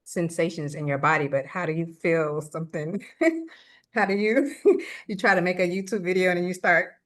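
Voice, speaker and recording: A woman's voice: on the low side, moderate pace. A woman guest chatting casually on a video call. She tells her stories candidly and giggles as she talks. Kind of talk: casual chat.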